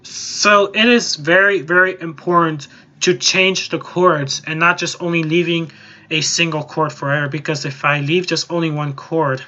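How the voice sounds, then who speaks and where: A man hosting a casual software tutorial, explaining in a rambling, repetitive way. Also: a little high, steady tone, normal speed, dull audio. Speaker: tutorial host.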